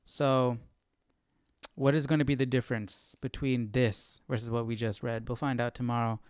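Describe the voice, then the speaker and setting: Clear-voiced casual man, dry sound. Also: medium pitch, flat delivery, normal speed, darkish tone, dry recording. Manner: informal, conversational. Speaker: livestream Bible study host. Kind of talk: casual livestream chat.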